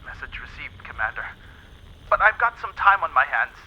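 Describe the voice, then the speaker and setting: An actor playing a loyal, easygoing spacecraft lieutenant in an audio drama, relaxed and kindly, heard through a radio filter. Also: male voice, a little high, moderate pace, highs cut off, slight background noise, heavily acted. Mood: tender, loyal.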